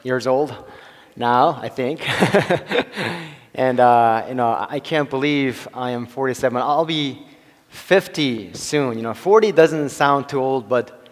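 A man in his forties preaching a sermon to his church, halting with many fillers and restarts and chuckling between phrases. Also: mid-range voice. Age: 40s. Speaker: pastor. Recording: long hall reverb.

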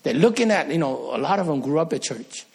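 A man's voice: a little high, expressive, fairly quick, gravelly, darkish tone, slightly rough. A middle-aged man from Korea, preaching an earnest sermon as a pastor and rising to emphatic peaks.

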